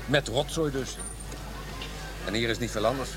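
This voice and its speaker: A man's voice: mid-range voice, normal speed, natural voice. Casting: male actor as a grave, well-informed guide in radio drama, earnest delivery, heavy music bed. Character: knowledgeable, grave male guide among slum poverty. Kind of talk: Dutch radio drama acting. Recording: music behind, outdoor traffic ambience.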